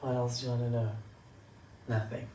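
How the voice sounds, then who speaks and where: A South African woman speaking as a podcast guest, reflective and unhurried, laughing between thoughts. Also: very deep voice, little pitch movement, mellow, a little hiss or hum. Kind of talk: casual panel chat. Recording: distant mic in an echoey room.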